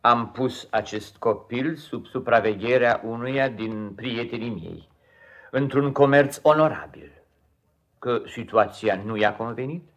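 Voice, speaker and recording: A cold, self-righteous stepfather, acted by a man in a 1950s radio play. His voice sits at a middle pitch, and he speaks in a pompous, formal way with tightly held indignation. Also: fairly bright tone, close to an everyday voice. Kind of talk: 1950s radio theatre acting.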